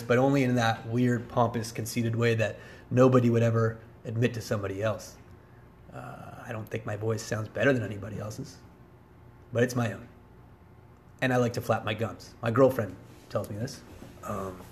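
A man around forty talks alone as a podcast host, in a medium-pitched voice. He rambles casually from one digression to the next, wry and quick to mock himself. There is faint noise behind him. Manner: self-deprecating, digressive, wry. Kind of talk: rambling solo casual monologue.

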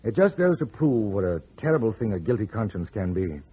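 An actor playing a shrewd, sardonic private detective in an old-time radio drama. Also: male voice, fairly low pitch, big pitch swings, fairly quick, husky. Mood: smug, teasing. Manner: sardonic, explaining, teasing. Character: wisecracking, shrewd male private detective, smooth with women. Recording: muffled vintage radio drama recording.

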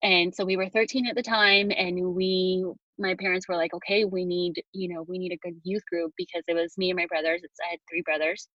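A woman in her thirties tells her story as a podcast guest, full of ums and restarts. Her voice sits a little low and the audio is dry and slightly muffled, like a remote call. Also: little pitch movement, a little fast, hardly any pauses, dry recording. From Venezuela. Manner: chatty, many fillers and restarts. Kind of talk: casual conversation, personal story.

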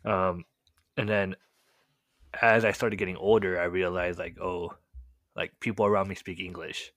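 A young man with a very low voice tells his childhood story in a loose, rambling way, peppering it with "like" and "um". He grew up speaking Korean first. His voice sounds dry and close, with no room around it. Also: normal speed, no echo. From United States. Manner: relaxed, rambling, heavy use of "like" and "um" fillers. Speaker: podcast guest telling his life story. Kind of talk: casual storytelling chat.

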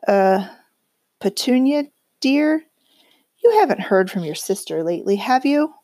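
A woman's voice: medium pitch, animated, a little slow, mellow, no echo, studio quality, natural voice. A woman voicing a nervous, fussy middle-aged husband in a read-aloud story, hesitant and mumbling.

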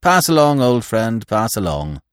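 A man acts out an unruffled, courteous gentleman of a comic storybook. He is drily grandiose and polite, with flowery phrasing, a broadly moving pitch and a dry, close sound. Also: sing-song, unhurried, smooth, no echo. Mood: mock mournful.

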